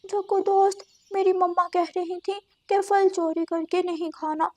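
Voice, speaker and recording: Woman as a very high-pitched cheeky boy bird. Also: level tone, unhurried, darkish tone. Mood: eager. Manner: childish, pleading.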